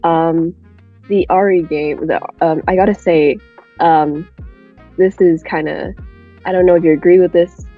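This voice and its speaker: A woman's voice: fairly low pitch, fairly even intonation, measured pace, soft background music. A woman guest in casual sports chat, blunt and informal, on dull lo-fi audio. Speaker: guest panelist.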